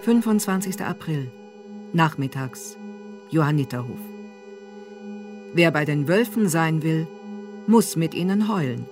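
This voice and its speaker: A woman narrator reading scene headings and credits for a radio play in a measured, sober way. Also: low-pitched, animated. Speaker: narrator and announcer. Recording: music under the voice.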